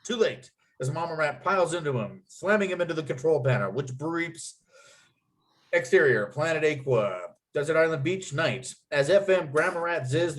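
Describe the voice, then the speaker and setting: A man reading screenplay scene headings and action aloud as narrator, with expressive storytelling delivery. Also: a little high.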